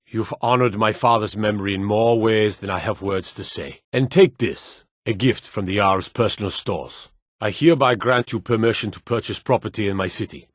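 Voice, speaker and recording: An actor playing a stern, dutiful fantasy lord and soldier in a video game, speaking in a formal, measured, authoritative way. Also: male voice, lowish voice, no echo, studio quality, natural voice. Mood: grave, stern. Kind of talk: video game character dialogue.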